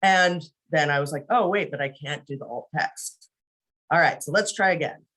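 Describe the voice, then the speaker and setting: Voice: medium pitch, moderate pace, a bit bright, rough recording. A presenter giving an informal screen-share training on a video call, talking conversationally and often checking in with the listeners by saying "right?".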